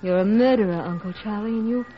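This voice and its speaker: A woman's voice: medium pitch, fast talker, faint music behind, lightly acted. An actress playing an earnest, anxious, determined teenage girl in 1940s radio drama, pleading and melodramatic. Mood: urgent, determined. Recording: muffled, slightly noisy 1940s radio broadcast.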